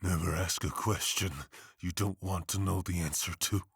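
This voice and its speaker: A man voicing a troubled prosecutor with a raspy voice and a slow, halting, intense delivery. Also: deep voice, steady tone, no room sound, clean studio sound, exaggerated voice. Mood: brooding, unstable. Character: troubled, unhinged male prosecutor turned fugitive.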